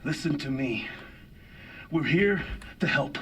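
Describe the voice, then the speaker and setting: An actor playing an urgent, pleading military rescue operative in a video game cutscene. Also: male voice, on the high side, expressive, takes it slowly, a bit bright, far from the mic, a little hiss or hum. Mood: desperate, pleading.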